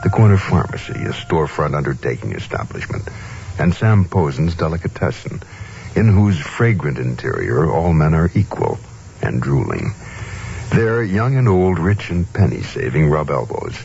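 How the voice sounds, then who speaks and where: A man's voice: a bass voice, very animated, normal speed, gravelly, darkish tone, a little hiss or hum, exaggerated voice. A man narrating an old-time radio drama in a solemn, scene-setting manner on a muffled old recording. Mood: measured, evocative.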